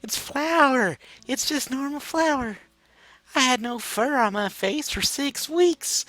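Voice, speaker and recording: A man's voice: very high voice, animated, takes it slowly, bright, forceful tone, dry recording, voice pushed up, exaggerated voice. A man voicing a shy, flustered female animal baker from a small town, folksy and hesitant, in a story reading. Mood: animated recounting.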